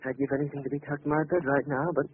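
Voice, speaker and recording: A man's voice: medium pitch, little pitch movement, rapid-fire, phone-quality sound, close to an everyday voice. Man playing a fussy, timid, stammering clerk. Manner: prissy, stammering. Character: fussy, timid male police records clerk, easily flustered. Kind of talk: classic radio drama comic acting. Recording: noisy, thin old radio recording.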